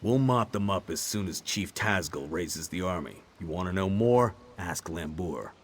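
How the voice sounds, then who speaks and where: A man playing a gruff, hostile male orc guard in a fantasy video game, speaking bluntly and menacingly in a rough voice. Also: animated, normal speed, a little hiss or hum. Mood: gruff, boastful. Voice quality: husky, rough low voice.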